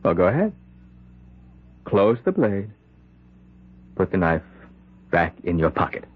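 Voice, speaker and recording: A man's voice: low-pitched, big pitch swings, slow pace, phone-quality sound, full character voice. An actor playing a dying man possessed by a killer's spirit, speaking urgently and commandingly in an old-time radio drama. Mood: insistent, probing.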